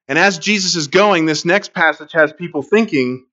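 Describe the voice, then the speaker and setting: A man's voice: fairly high pitch, moderate pace, pushed and bright. Middle-aged guy preaching in a church, chatty storyteller vibe, kinda dull lo-fi audio. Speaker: pastor. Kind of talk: sermon. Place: church sanctuary.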